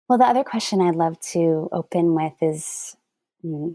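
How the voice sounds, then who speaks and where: A woman's voice: on the low side, normal speed, warm tone, no echo, studio quality. A woman from Canada hosting a podcast interview, asking curious questions and laughing easily. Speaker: podcast host. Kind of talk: conversational interview.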